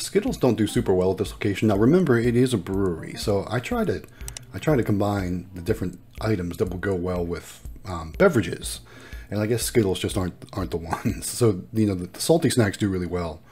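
A man's voice: fairly low pitch, expressive, fairly quick, dark tone, slightly rough, faint music behind. A man narrating a casual vlog in an upbeat, appreciative way, explaining things as he goes. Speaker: vlogger and vending machine operator.

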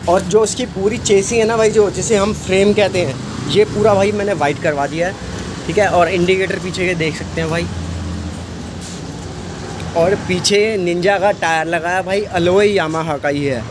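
A man's voice: high voice, fairly even intonation, moderate pace. A man, a bike shop owner casually showing off his work on camera, boastful and chatty, calling everyone "bro". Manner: chatty, says "bhai" constantly, boastful. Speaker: bike mechanic and channel host. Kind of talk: casual walkaround video talk. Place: outdoor bike repair shop. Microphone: phone camera, speaker off screen. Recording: noisy street and traffic behind.

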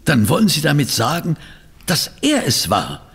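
Husky, high-voiced inquisitive gentleman. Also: huge pitch swings, normal speed, heavily acted. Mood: probing. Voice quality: husky, creaky. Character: fussy, inquisitive gentleman, detective's companion. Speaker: actor in an audio drama. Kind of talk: German radio play acting.

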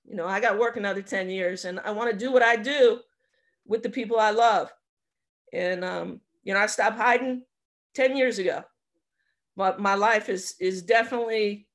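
A woman in her fifties tells a personal story on a laptop video call from her home. She talks earnestly and haltingly, restarting her sentences as she goes. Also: medium pitch. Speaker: basketball coach. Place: home room, indoors. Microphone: laptop webcam on a video call.

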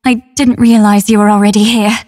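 A woman playing a solemn, tender female android soldier in a video game, speaking in a measured, formal, earnest way. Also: mid-range voice, flat delivery, a little mellow, natural voice. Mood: courteous. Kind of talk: English dub game voice acting.

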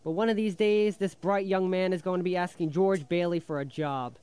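A man plays a sardonic hireling in a radio drama. His voice sits fairly high, and he reels off frank, needling patter with a wry edge. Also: fairly even intonation, darkish tone, clear, resonant tone, close to an everyday voice. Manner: sardonic, fast-patter monologue. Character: wry, frank hireling rent collector, slyly needling boss.

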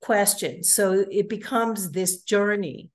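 A woman interviewer asking long, measured questions over a video call from home, often saying "you know". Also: mid-range voice. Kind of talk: conversational interview.

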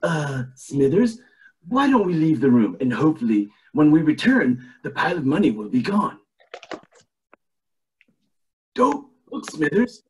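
A man voicing a scheming, self-pitying old tycoon with theatrical, drawn-out delivery in an amateur cartoon table read. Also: on the high side, very animated, a little mellow, rough recording. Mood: sly.

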